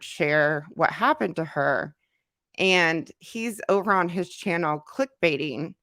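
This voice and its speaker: A woman's voice: low-pitched, a bit bright, no echo, clean studio sound. A woman live streaming and chatting casually, congested from a cold, talking with emphasis and repeating words for stress.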